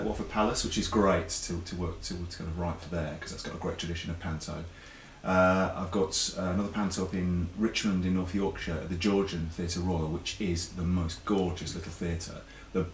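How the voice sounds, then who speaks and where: A deep-voiced British man chats as an interview guest, explaining things casually with repeated words and self-corrections. The recording is echoey and a little distant from the microphone, with faint noise behind him. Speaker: interview guest, pantomime writer and performer. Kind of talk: casual chat show interview.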